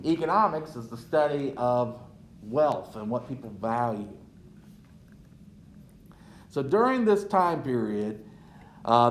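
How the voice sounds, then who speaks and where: A man giving an unhurried history lecture as a teacher, checking in with "right?" as he goes. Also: mid-range voice, echoey room, slight background noise. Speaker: social studies teacher.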